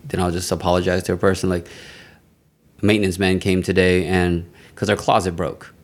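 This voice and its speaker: A young man chatting casually on a podcast and telling animated, profane stories in a booming voice. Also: fairly quick. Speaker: podcast guest.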